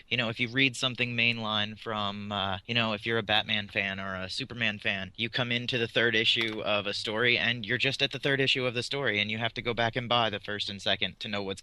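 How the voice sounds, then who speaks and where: A man's voice: mid-range voice, sharp tone. A man on a podcast panel explaining his thoughts in a casual, analytical way.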